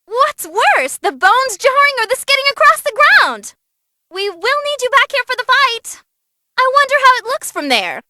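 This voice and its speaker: A woman voicing a bubbly, bloodthirsty young female tournament announcer in a video game, commenting on fights with gleeful, teasing theatrical excitement. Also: extremely high pitch, normal speed, heavy background noise. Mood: lively.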